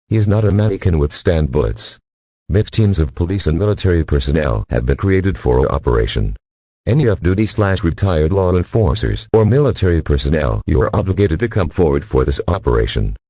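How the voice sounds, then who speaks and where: A man reading a scripted emergency broadcast announcement in a formal, flat, synthetic-sounding manner. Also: sits low, breathy edge, no echo.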